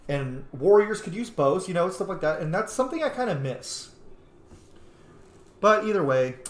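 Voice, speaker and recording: A man giving casual, enthusiastic, rambling commentary as he plays a game. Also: on the high side. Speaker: gamer commentating on his playthrough. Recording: echoey room.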